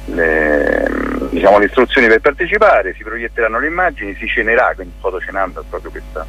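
A man, explaining an event programme in an informal radio interview, often searching for words in a halting way. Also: on the low side, very animated, bright, forceful tone, slightly rough, poor audio. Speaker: interview guest, photography teacher and event organiser.

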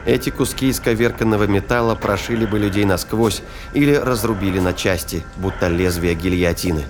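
A man narrating a science fiction audio drama in a scripted storytelling style. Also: fairly low pitch. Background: ambience and sound effects.

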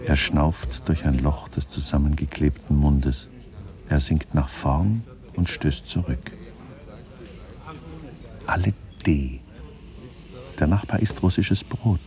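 An actor as a detached narrator, giving clipped, deadpan literary narration in a radio play. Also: male voice, extremely low pitch, slow, long pauses, heavily acted. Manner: deadpan, clipped, fragmentary phrasing. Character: detached male narrator minutely observing people.

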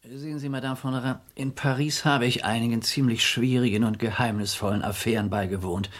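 An actor playing a discreet, resigned French police commissioner in a German radio crime drama, speaking formally, with measured courtesy and a husky voice. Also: male voice, mid-range voice, steady tone, close to an everyday voice. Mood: guarded, firm. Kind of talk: German radio play acting.

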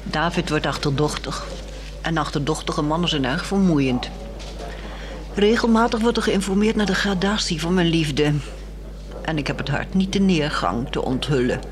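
A woman acting a weary, resolute married woman in a 1960s radio drama, speaking in a measured, articulate and wry way. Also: low voice, a crowd in the background, lightly acted.

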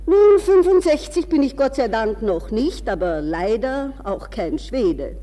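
A woman acts out a gallant ageing gentleman for a radio play. The delivery is soft-toned, courteous and flirtatious, with formal phrasing. Long reverberation hangs around the voice. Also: a little high, sing-song, unhurried. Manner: formal, gallant, self-deprecating. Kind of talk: radio drama dialogue.

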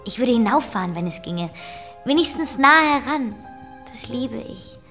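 Aristocrat's wife: fairly high voice, dreamy, soft, composed, radio drama with faint music behind. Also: female voice, expressive, normal speed, gravelly, full character voice. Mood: wistful. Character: dreamy, gentle lady, wife of an ailing aristocrat. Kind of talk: German literary radio play acting.

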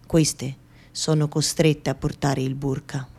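A woman acting a fearful yet defiant Afghan woman in a radio theatre monologue, speaking in deliberate, repetitive short phrases. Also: low-pitched, little pitch movement, mellow. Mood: weary, watchful. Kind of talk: dramatic first-person monologue, radio theatre.

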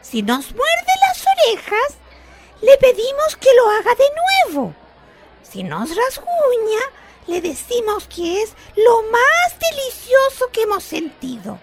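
A woman's voice: very high voice, huge pitch swings, takes it slowly, noisy background, heavily acted. A Chilean woman playing a frail but crafty old grandmother in a radio play. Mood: sly. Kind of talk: Spanish-language radio theatre acting.

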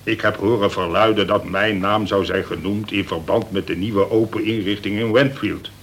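An actor playing a worried, blustering prison governor, a cautious and officious colonel, in a Dutch radio play. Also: male voice, lowish voice, steady tone, normal speed, fairly bright tone, slight background noise. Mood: dry, confiding. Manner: exasperated, swearing, officious.

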